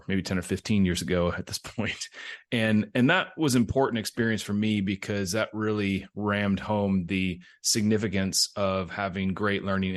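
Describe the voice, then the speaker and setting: Middle aged guy, tech ceo type on a podcast, lowish voice, just explaining stuff conversationally. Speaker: tech company CEO, interview guest.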